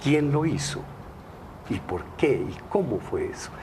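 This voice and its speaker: A man's voice: mid-range voice, big pitch swings, measured pace, background noise. A man, a novelist, speaking emphatically on a studio panel, stacking words in rhythmic series. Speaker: novelist guest.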